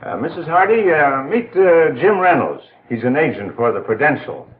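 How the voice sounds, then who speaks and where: A courteous older storekeeper in a 1940s training film, hesitant and halting. The vintage soundtrack is echoey and narrow with faint noise. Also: male voice, a little high, sing-song.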